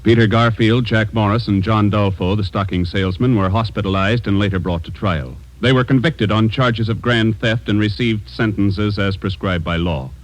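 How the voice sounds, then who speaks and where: A man reading in a measured, official 1940s radio announcer style. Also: on the low side, soft background music, rough recording.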